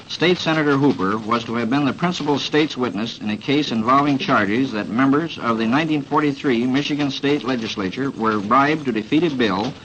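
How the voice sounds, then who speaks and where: A man's voice: mid-range voice, fairly bright tone. An American man gives a reporter's prepared account, matter-of-fact, in a mid-range voice. The old radio recording is dull with faint noise. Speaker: newspaper reporter. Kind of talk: prepared factual statement on radio.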